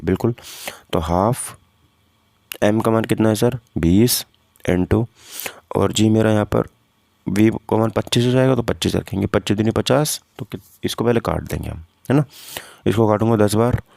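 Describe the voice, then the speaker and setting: A man's voice: fairly low pitch, creaky, a little mellow, no echo, clean studio sound. A man teaching informally, working through practice questions step by step and often asking questions that he answers himself. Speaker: physics teacher for exam preparation.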